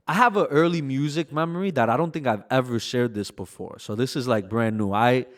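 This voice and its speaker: A man in his twenties from Brooklyn, New York, a music artist recalling memories in a relaxed interview, peppering his talk with "like" and "you know". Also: medium pitch, brisk. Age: 20s. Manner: relaxed, reflective storytelling with frequent "like" and "you know". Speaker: bachata artist, guest. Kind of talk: casual interview conversation.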